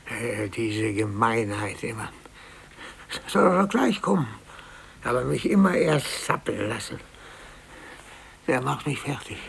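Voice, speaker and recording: An actor playing a browbeaten, blustering, middle-aged office clerk who stammers and gets flustered, in a 1950s German radio play. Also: male voice, mid-range voice, big pitch swings, slow, vocal fry, pauses often, slightly rough, exaggerated voice. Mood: blustering, indignant. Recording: hissy 1950s radio recording.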